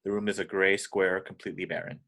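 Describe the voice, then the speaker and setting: A man's voice: low-pitched, flat delivery, quick pace, vocal fry, fairly bright tone. A man narrating scripted stage directions at an amateur table read.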